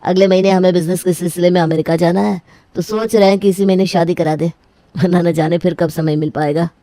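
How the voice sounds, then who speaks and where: Boastful scheming dad for a story, voiced by a woman, lowish, mellow, pushy. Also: steady tone, fairly quick, dry recording, lightly acted. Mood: smooth, confident. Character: scheming, boastful middle-aged father.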